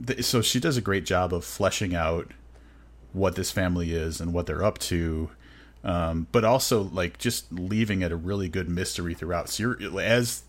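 A man co-hosting a book podcast, talking casually with many fillers and hesitations. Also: low-pitched. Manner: hesitant, filler-heavy, enthusiastic. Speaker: podcast co-host.

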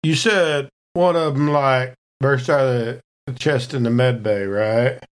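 A man's voice: mid-range voice, measured pace, lightly acted. A man playing a folksy, sardonic, hard-drinking spaceship crewman in a tabletop game. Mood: wary, puzzled.

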